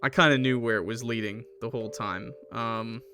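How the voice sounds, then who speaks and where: A man chatting casually on a livestream as a gamer, halting and hesitant, with a self-deprecating manner. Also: mid-range voice, fairly even intonation, pushed and bright, faint music behind. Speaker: streamer playing and reviewing a game. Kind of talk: casual livestream chat, reacting to a game and viewer comments.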